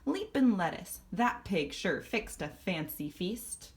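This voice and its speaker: A woman voicing a squeaky, brash female guinea pig in a children's storybook. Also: big pitch swings, slow. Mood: brash, impressed.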